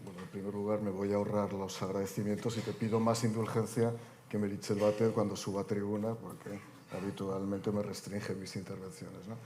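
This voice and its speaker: A man's voice: on the low side, little pitch movement, fairly quick, mellow, slight background noise. A man in his fifties speaking on a conference panel, delivering an oratorical talk with wry, joking asides. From Spain. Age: 50s.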